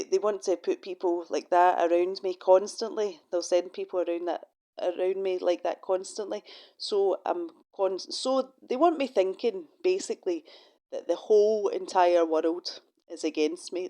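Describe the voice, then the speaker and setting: A woman's voice: lowish voice, mellow. A middle-aged Scottish woman talking casually to camera from her room in a shared house. She is wry and profane and often restarts her sentences. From Scotland. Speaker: vlogger.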